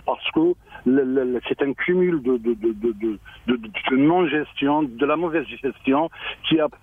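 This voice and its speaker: A man, a local mayor, speaking on a radio phone-in, halting and repeating words, with a choked-up voice. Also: medium pitch, breathy edge, dry recording. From Algeria. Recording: tinny phone line.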